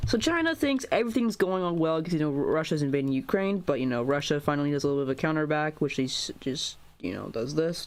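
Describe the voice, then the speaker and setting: A young American man giving casual, rambling commentary over a map video, full of fillers and restarts. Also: fairly high pitch. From United States.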